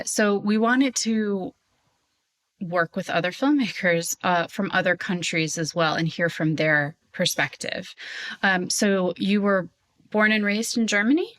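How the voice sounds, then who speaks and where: A woman's voice: lowish voice, fairly bright tone. A woman hosting a podcast interview in a conversational style.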